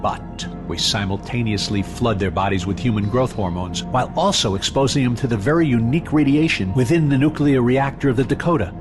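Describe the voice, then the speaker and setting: A man's voice: mid-range voice, a little fast, few pauses, close to an everyday voice. With music swelling behind him, a villainous scientist played by a man holds forth in audio-drama style. He is suave and condescending, explaining his work with theatrical deliberation and a fanatic's conviction. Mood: proud, lecturing.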